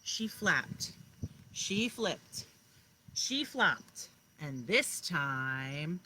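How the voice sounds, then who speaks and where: A woman's voice: low voice, big pitch swings, very slow, sharp tone, poor audio. A woman kindergarten teacher reading a story aloud outdoors and talking encouragingly to children, often asking them questions. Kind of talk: children's read-aloud with asides to young listeners. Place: outdoors in a backyard. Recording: clear outdoor background noise. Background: outdoor noise.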